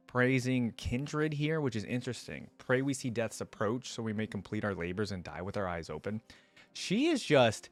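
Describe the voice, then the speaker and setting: A man's voice: lowish voice, no room sound. A man hosting a reaction video, giving casual fan commentary in an informal, earnest way. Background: music.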